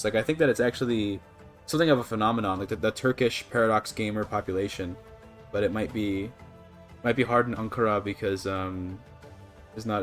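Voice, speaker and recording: A Canadian man chatting casually to his livestream audience, rambling and apologetic as he goes. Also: lowish voice. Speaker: live streamer. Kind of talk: casual gaming livestream chat.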